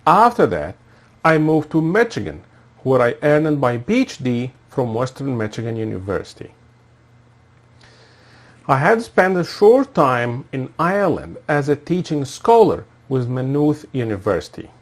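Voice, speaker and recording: An man in his thirties with a lively, varied pitch. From Egypt. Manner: formal, measured, reads from a prepared script. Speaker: assistant professor and course instructor. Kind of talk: scripted self-introduction video.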